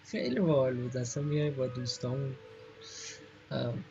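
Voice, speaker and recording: A man's voice: medium pitch, racing, vocal fry, darkish tone, lo-fi sound, soft background music. A Persian-speaking man giving casual commentary as he streams a game. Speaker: gaming streamer.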